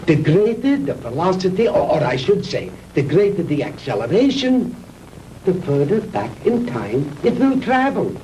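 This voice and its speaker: An actor playing a proud, vengeful mad scientist in a 1960s B-movie, lecturing pompously with theatrical pauses on an old film soundtrack. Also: male voice, on the high side, very animated, mellow, far from the mic, a little hiss or hum, rough recording. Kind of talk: 1960s B-movie sci-fi acting.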